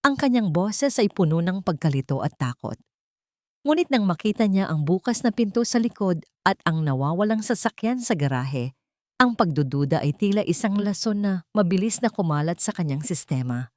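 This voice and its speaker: A woman narrating a dramatic audiobook story in a solemn, literary way, with short deliberate phrases. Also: on the low side, lively intonation, darkish tone, no echo, clean studio sound.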